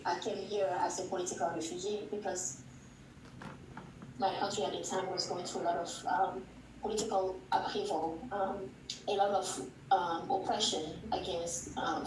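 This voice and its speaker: A woman in her thirties, originally from Togo, answering interview questions in a measured, reflective way. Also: medium pitch, noisy background. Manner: measured, reflective, thinking aloud. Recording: echoey room, distant mic.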